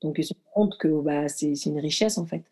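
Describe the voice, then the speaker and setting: A woman's voice: low voice, fast talker, warm tone. A woman in her thirties from Morocco, a guest athlete telling her story in a casual, reflective way, with many fillers and restarts. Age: 30s. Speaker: guest athlete and former firefighter.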